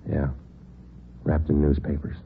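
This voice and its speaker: Deep-voiced, deadpan American radio detective. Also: male voice, unhurried. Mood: businesslike, deadpan. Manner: deadpan, matter-of-fact. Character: stoic, businesslike male police detective narrating a case. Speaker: lead actor and narrator. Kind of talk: 1940s radio crime drama, clipped narration. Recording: old tinny radio recording, music behind.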